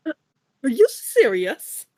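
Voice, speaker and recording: Haughty schoolboy wizard voiced by a woman, slightly high pitch, slow drawl, disdainful, for audio drama. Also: very animated, darkish tone, exaggerated voice. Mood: exasperated. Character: haughty, snobbish, reluctant teenage wizard boy. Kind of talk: fan audio drama acting.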